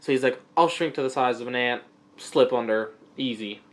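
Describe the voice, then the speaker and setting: A man co-hosting a comic-book podcast, chatting casually and hesitantly, with frequent pauses and restarts. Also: mid-range voice. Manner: hesitant, lots of uh and false starts. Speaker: podcast co-host.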